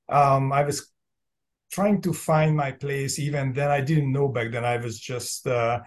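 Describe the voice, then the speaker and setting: A man in his fifties from Hungary, a native Hungarian speaker with a Hungarian accent, talking casually and haltingly as an interview guest. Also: mid-range voice, steady tone. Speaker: podcast guest, entrepreneur and business coach. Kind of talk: casual personal storytelling in an interview.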